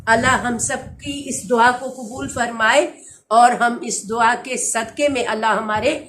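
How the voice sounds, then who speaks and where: A woman's voice: on the high side, fairly even intonation, unhurried, fairly bright tone, a little hiss or hum. A woman giving a lecture on grammar, patiently explaining each word and repeating key terms. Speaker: teacher. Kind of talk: slow Quranic grammar lecture.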